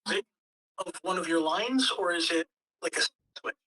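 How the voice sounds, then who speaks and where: Male table-read host, high bright voice, casual coaching delivery, distant mic. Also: lively intonation, moderate pace. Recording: distant mic with faint background noise.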